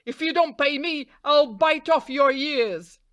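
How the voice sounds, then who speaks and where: A crafty, bossy raven out of a fairytale , voiced by a woman. It bargains emphatically in a voice pushed up in pitch, with a dry sound. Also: slow, a bit bright, no echo. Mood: indignant.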